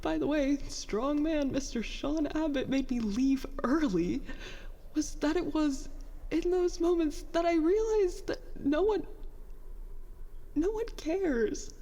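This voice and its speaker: Stubborn young female petty officer, high-pitched, bitter and self-doubting, halting conversational delivery, long reverb, sci-fi audio drama log. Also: warm tone. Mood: bitter, hurt. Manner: halting, conversational, self-deprecating, mildly profane. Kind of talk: science fiction audio drama monologue, confessional log.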